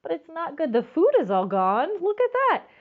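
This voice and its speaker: Woman storytime reader, expressive and lively in pitch, addresses children directly, slightly dull lo-fi recording. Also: moderate pace. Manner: expressive, stretches words for emphasis. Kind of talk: children's storybook read-aloud with asides to listeners.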